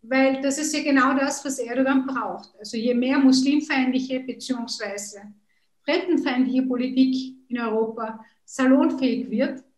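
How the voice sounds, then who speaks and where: A woman's voice: high-pitched, normal speed, darkish tone, reverberant, low-quality recording. A woman in her thirties whose first language is Kurdish, speaking earnestly on a video call with frequent hesitations. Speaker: politician, interview guest. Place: room at home.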